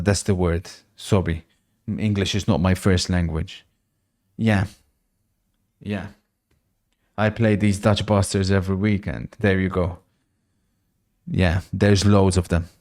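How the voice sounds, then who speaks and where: A man's voice: sits low, steady tone, measured pace, a little mellow. A man hosting a live football watch-along stream, chatting casually, reacting to the match and reading out viewer messages.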